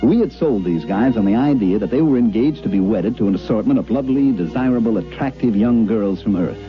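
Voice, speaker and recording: A male actor plays a glib, scheming con man from a 1950s radio drama, wry and smooth-talking. His delivery swings in lively melody, on a muffled old broadcast with music around him. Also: lowish voice, brisk, close to an everyday voice. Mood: wry narrating. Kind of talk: 1950s radio drama acting with first-person narration.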